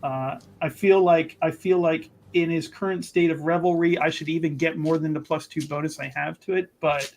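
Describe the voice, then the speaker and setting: High-pitched man playing a tabletop game. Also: fairly even intonation, moderate pace, hardly any pauses. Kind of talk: casual game table chat.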